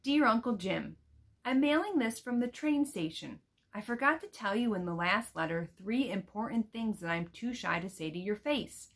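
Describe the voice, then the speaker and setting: An earnest, eager young girl from a picture book tells her letters home, read out by a woman. The delivery is careful and expressive, in the manner of storybook reading. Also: mid-range voice, moderate pace, pitched above the performer's own voice. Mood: shy, hopeful.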